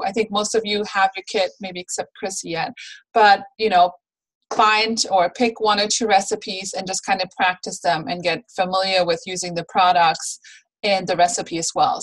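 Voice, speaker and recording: A woman's voice: medium pitch, rough recording. A woman leading a training call for new consultants, friendly and instructive, with frequent "um"s, on a video call. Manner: friendly, instructive, many fillers. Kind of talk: training call with screen share.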